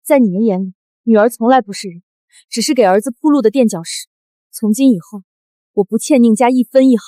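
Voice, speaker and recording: An actress playing a wronged eighteen-year-old daughter, bitter and proud, in a melodramatic short drama. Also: female voice, on the high side, fairly quick, dark tone, no room sound, natural voice. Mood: cold, defiant. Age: young adult.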